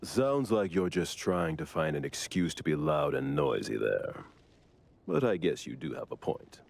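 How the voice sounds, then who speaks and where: An actor playing a cautious, seasoned male anomaly investigator in a video game, with a gravelly, husky voice and a dry, measured delivery. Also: rumbling low, very animated, heavily acted. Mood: dryly resigned.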